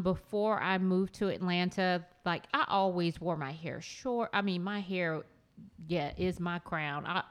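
Smooth-voiced woman, firm and self-assured. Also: on the low side, fairly bright tone. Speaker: panel guest. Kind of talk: personal storytelling in conversation.

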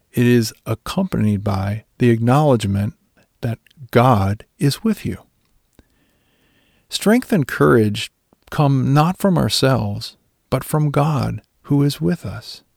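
A man's voice: medium pitch, lively intonation, slow, gravelly, darkish tone, no echo, studio quality. A man reading a scripted devotional reflection as a religious speaker.

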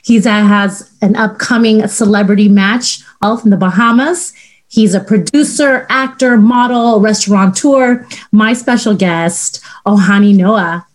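A woman hosting an interview show, upbeat and chatty with quick affirmations, on a video call. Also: medium pitch. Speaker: podcast host. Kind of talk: casual interview.

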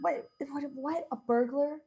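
A woman's voice: high-pitched, unhurried, warm tone, highs cut off. A woman playing a bossy, nagging wife in a radio play, scolding and insistent in a melodramatic way. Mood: stern. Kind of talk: classic radio play acting.

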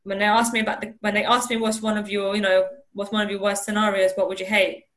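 A woman's voice: medium pitch, little pitch movement, fast, a bit bright. A young woman from Iran, talking earnestly in an interview over a video call, often restarting and repeating words. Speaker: interview guest. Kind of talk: candid conversational interview.

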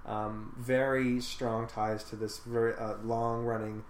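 A man's voice: mid-range voice, level tone, unhurried. A man in a casual film discussion, talking thoughtfully at length with many fillers. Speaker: podcast host discussing a film. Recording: echoey room with faint noise.

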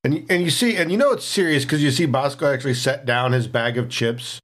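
A man's voice: mid-range voice, brisk, fairly bright tone. A man playing a tabletop game, casually narrating what his character does. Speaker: player.

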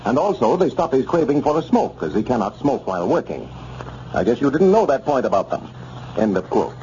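A male announcer from 1930s radio delivers polished sponsor copy with lively, swooping intonation. His tone is smooth-edged and dark. The recording is dull, with faint noise. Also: medium pitch, brisk. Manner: polished, formal.

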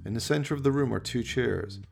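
A man's voice: medium pitch, a little fast, gravelly, darkish tone, breathy edge, faint music behind. A man narrating a comic horror radio play with theatrical, dramatic storytelling.

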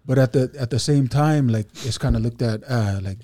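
Laid-back chatty man, mellow voice. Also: mid-range voice, normal speed, dry recording, studio quality. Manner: laid-back, chatty, many fillers and asides. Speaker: podcast guest. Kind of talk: casual podcast chat.

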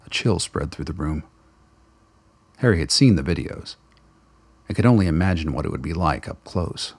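A male narrator reads a radio drama script. His voice is extremely deep and gravelly, with a husky grain. The delivery is dramatic and scripted, recorded close and dry. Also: animated, normal speed, no echo.

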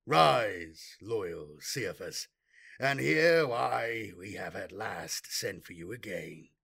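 Guy doing a cold dead-voiced warlord on a throne, mocking and slow. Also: lowish voice, expressive, vocal fry, a bit bright, husky, pitched below the performer's own voice, full character voice.

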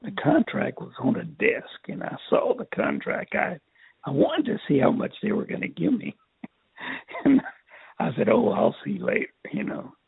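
An older man from Texas with a raspy, strained voice, telling his life story in an interview in a halting way, repeating words. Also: high-pitched, huge pitch swings, dry recording. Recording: tinny phone line.

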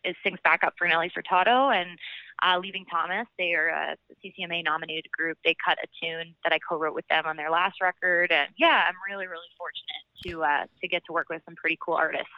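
A woman's voice: on the low side, steady tone, quick pace, clear, resonant tone, dry recording, muffled recording. A Canadian woman chatting casually as an interview guest, in an informal, chatty manner. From Alberta, Canada. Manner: chatty, informal, frequent "like" and "you know". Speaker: country singer-songwriter, podcast guest.

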